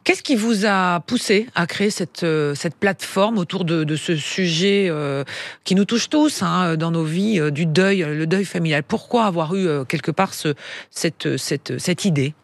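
Dry-sounding halting interviewer. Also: mid-range voice, no room sound. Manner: searching, repeats words while asking. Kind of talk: interview questions.

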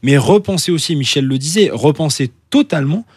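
A man's voice: a little high, expressive, fairly quick, slightly rough, dry recording, clean studio sound. A man arguing emphatically as a panelist in a radio debate. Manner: emphatic, insistent. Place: radio studio.

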